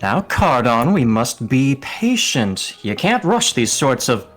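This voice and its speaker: A sardonic, scheming villain from a tabletop game, acted by a man. He speaks slowly in a middle register with theatrical condescension. Soft music plays behind him. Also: mid-range voice, very animated. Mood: smug disdain. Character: smug, sardonic scheming villain who monologues his plans.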